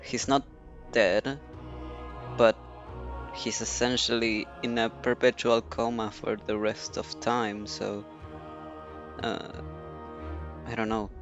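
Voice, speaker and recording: A man's voice: medium pitch, little pitch movement, takes it slowly, long pauses, dull audio. A man giving casual, musing commentary as a game streamer, hesitant as he thinks aloud. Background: soft game music.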